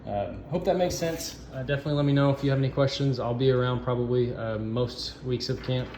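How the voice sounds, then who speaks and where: A man giving an informal instructional walkthrough of equipment, explaining in a halting, improvised way. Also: mid-range voice, darkish tone. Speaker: sound system installer giving a walkthrough. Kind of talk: informal instructional demo narration. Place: indoor camp office. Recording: echoey room, noisy ambient background.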